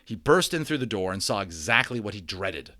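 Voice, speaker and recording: A man's voice: lowish voice, very animated, fairly quick, sharp tone, no echo, clean studio sound. A man reading a children's novel aloud as a dramatic narrator, theatrical, with informal asides to his listeners. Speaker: storybook reader.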